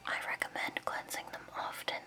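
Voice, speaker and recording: A woman whispering softly and breathily in a gentle, measured ASMR roleplay as a shopkeeper. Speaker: ASMR roleplay host as crystal shop keeper.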